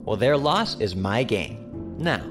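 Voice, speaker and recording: Seasoned mercenary captain, sci-fi audio drama: sardonic, probing, very varied intonation over clear music. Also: male voice, on the low side, sing-song, slow. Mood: teasing. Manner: teasing, probing. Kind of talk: science fiction audio drama acting. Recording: music behind the voice.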